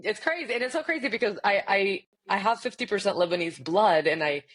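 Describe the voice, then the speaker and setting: A woman's voice: mid-range voice, animated, brisk. A woman in her thirties, raised in Spain and the US, a guest in an interview, talking animatedly and laughing often. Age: 30s. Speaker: leadership coach guest. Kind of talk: casual interview.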